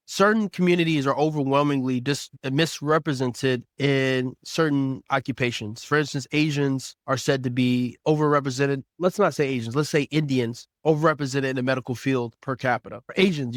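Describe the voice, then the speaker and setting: A man's voice: medium pitch, dry recording, clean studio sound. A man giving an earnest, explanatory commentary monologue, repeating words as he thinks.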